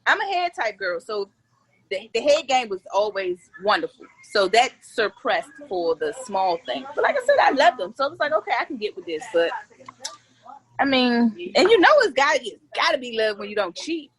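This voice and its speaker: A woman's voice: on the high side, sing-song, moderate pace, rough recording. A woman in her forties talking as a guest in a candid, joking casual chat, blunt and full of earthy slang. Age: 40s. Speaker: interview guest, comedian.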